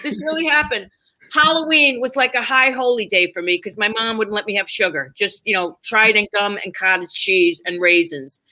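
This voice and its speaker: A woman's voice: mid-range voice, moderate pace, sharp tone, low-quality recording. A woman telling stories conversationally, candid and emphatic, acting out bits of dialogue as a comedian guest. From New York, United States. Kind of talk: animated conversational storytelling.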